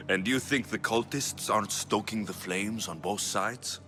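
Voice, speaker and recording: A man's voice: fairly low pitch, slow. A man playing a determined, defiant young Greek mercenary hero in a game cutscene, blunt and resolute. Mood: urgent. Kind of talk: video game cutscene acting. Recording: loud music behind the voice.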